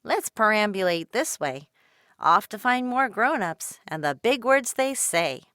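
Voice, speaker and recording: A woman voicing an eager young girl who loves big words, talking in an excited, pleading way. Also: medium pitch, a little slow, no room sound, clean studio sound. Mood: cheerful.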